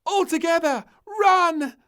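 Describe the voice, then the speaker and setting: A man voicing an excitable, bossy little princess in a storybook read-aloud, with high squeals and bright exclamations. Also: slow, exaggerated voice. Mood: gleeful.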